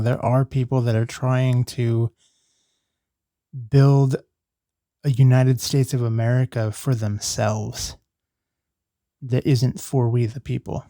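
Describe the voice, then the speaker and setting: A man hosting a solo podcast, talking casually and reflectively in halting phrases. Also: medium pitch, warm tone, dry recording, clean studio sound. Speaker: podcast host.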